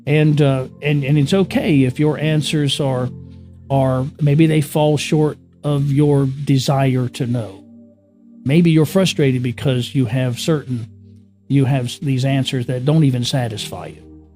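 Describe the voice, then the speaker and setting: A man's voice: medium pitch, steady tone, a little slow, dark tone, faint music behind. A man giving a casual spiritual teaching, often repeating himself, chuckling and asking the listener rhetorical questions. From born in the US Northeast, raised in California. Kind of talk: unscripted spiritual teaching to camera.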